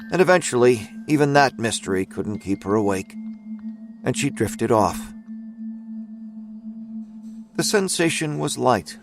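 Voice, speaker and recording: A man's voice: medium pitch, lightly acted. A man narrating a scripted audio drama as its storyteller.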